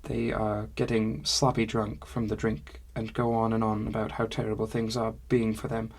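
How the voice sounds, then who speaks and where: A woman's voice: very deep voice, flat delivery, moderate pace, darkish tone, slight background noise. A man doing a chirpy, stilted female dwarf. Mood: explaining. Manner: stilted phrasing with much "is being". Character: female dwarf stablehand, cheerful, loud, odd formal speech.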